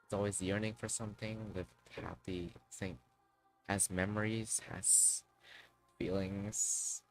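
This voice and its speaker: A man's voice: deep voice, level tone, slow pace, low-quality recording. A man livestreaming a game, chatting casually and thinking aloud, with some swearing. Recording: dry close sound, faint music.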